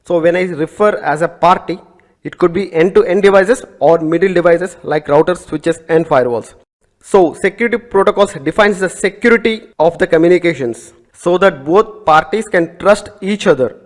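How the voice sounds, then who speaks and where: A male instructor whose first language is Telugu, with a voice sitting a little higher than usual for a man. He lays out networking ideas step by step, rephrasing terms as he explains them. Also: normal speed. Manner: methodical, repeats and rephrases terms, small slips. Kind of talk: recorded networking lecture.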